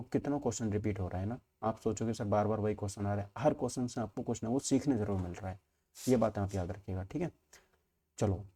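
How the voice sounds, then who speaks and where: A man's voice: fairly low pitch, dark tone. A man teaching an exam-preparation class informally, explaining step by step with frequent check-ins and repeated points. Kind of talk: informal lesson walking through multiple-choice questions.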